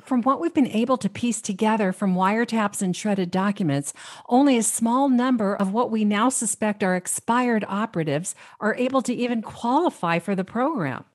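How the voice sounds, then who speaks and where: A woman's voice: medium pitch, moderate pace, lightly acted. An actress playing a cautious, earnest female government agent in a comedic radio play. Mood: measured. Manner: earnest, protesting.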